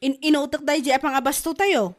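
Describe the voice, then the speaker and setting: Actress playing a comedy drama village woman, very high voice, Ilocano accent. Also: fairly even intonation, brisk, lightly acted. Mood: bossy, nagging.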